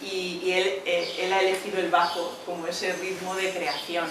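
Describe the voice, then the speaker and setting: A woman hosts a literary event in a flat, medium-pitched voice. She speaks informally, pausing between phrases. The sound is distant and heavily reverberant, with noticeable noise. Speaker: presenter of a poetry book event. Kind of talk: informal spoken introduction and questioning. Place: echoey café event room. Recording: very echoey noisy room, distant microphone.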